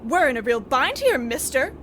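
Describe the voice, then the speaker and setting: A woman's voice: sits high, big pitch swings, normal speed. A woman playing an exasperated, wordy wife in a mock old-time radio comedy, arch and melodramatic. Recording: clear background noise.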